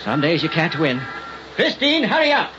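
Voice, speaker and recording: An actor playing a fretful, gruff but soft-hearted old night watchman in a radio drama, speaking in short clipped phrases. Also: fairly high pitch, huge pitch swings, moderate pace. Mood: anxious. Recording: muffled, noisy old radio recording.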